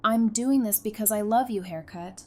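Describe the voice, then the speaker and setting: A woman acting a defiant, sharp-tongued but protective survivor in an audio drama, blunt and intense in her delivery. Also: mid-range voice, moderate pace, natural voice. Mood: pleading, earnest. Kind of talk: full-cast fan audio drama acting. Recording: clear background noise.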